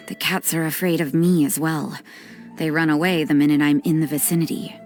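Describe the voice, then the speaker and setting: Casting: woman to play aloof young nun for a game, low voice, deadpan and unsentimental, faint music underneath. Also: normal speed, natural voice. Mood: resigned. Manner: deadpan, matter-of-fact. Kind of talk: English video game voice acting.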